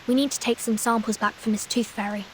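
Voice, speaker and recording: A woman voicing a calm, determined young female investigator in a video game, terse and measured. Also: a little high, slight background noise, close to an everyday voice. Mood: focused, dry.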